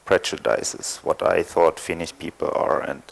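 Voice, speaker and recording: A man from East Germany, speaking English, telling his story as a panel guest with many pauses and fillers. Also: rumbling low, steady tone, creaky, poor audio. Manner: hesitant, thoughtful, many fillers. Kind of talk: panel discussion, personal account.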